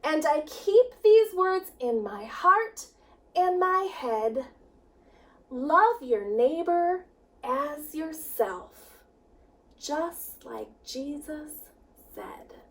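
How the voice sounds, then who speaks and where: A woman reads a children's story in a high voice, taking her time over every phrase. Her delivery is expressive and swings widely, with dramatic pauses between lines. She sounds set back from the microphone. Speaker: children's director reading a picture book. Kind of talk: children's story read-aloud. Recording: distant microphone.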